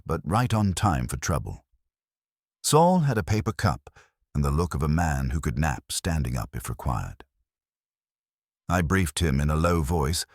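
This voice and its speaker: Very deep-voiced man narrating detective fiction. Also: dry recording, clean studio sound. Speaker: audiobook narrator. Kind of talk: scripted first-person detective story narration.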